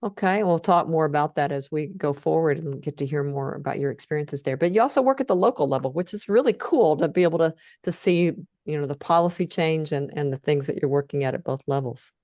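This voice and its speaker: A woman with a low voice in a dry, close recording. She interviews casually, phrasing her questions in a tentative, roaming way. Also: fairly quick, few pauses, darkish tone, no room sound. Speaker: interviewer, co-host.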